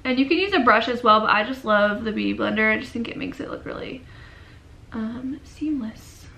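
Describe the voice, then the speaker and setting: A young woman in her twenties with a cold, chatting casually through a makeup tutorial in a dim bedroom, often trailing off into quiet murmurs. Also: a little high, moderate pace, creaky. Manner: chatty, filler-heavy, drifts into quiet murmurs while working. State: sick and sniffly. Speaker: beauty vlogger.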